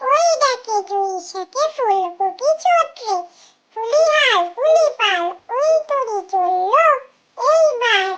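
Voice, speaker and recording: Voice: extremely high pitch, dull audio, very noisy, full character voice. A performer voicing a small cartoon child who chants nursery rhymes in a simple, repetitive sing-song.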